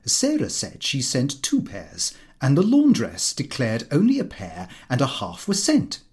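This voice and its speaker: A man's voice: medium pitch, huge pitch swings, unhurried, darkish tone. A British man reading a comic diary as an audiobook narrator, in character with a fussy, indignant delivery.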